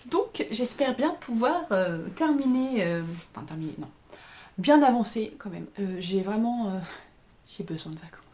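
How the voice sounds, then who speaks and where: A woman's voice: mid-range voice, lively intonation, normal speed, darkish tone, a little hiss or hum. A tired woman in her thirties chatting casually for her crafting podcast, hesitant with many fillers while showing her work to the camera. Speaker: knitting pattern designer and podcaster. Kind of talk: casual video podcast chat.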